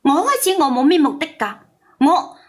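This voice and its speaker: High-voiced, defensive woman caller. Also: very animated, moderate pace. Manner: defensive, plaintive. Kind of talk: live call-in argument.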